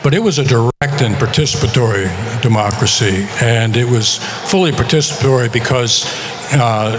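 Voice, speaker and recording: A man's voice: mid-range voice, background noise. Man speaking while losing his voice. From United States. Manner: measured, with many pauses and filler words. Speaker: author. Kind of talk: conference talk. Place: conference session.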